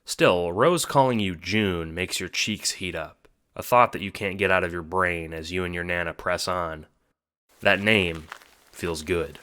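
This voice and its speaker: A man's voice: low-pitched, measured pace, pushed and bright. A man narrating a scripted second-person story for an audio drama.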